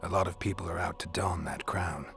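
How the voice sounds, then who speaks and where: A man's voice: low voice, fairly even intonation, a little fast, a bit bright, soft background music. A man playing a stoic, world-weary mercenary monster hunter in a fantasy video game, speaking in a terse, dry, understated way. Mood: pressing. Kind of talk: dramatic fantasy game acting.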